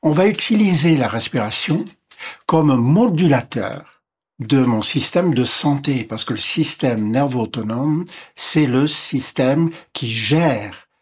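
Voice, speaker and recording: Husky-voiced man explaining patiently. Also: medium pitch, expressive, a little mellow. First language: English. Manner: measured, lists and repeats points while explaining. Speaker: guest, physician. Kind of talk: explanatory interview talk.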